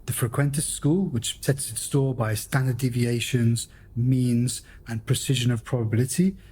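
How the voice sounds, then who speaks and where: A man's voice: mid-range voice, steady tone, normal speed, dark tone, slight background noise. A man narrating and hosting a radio play in a formal, theatrical storyteller's manner. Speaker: host and narrator. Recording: music behind the voice.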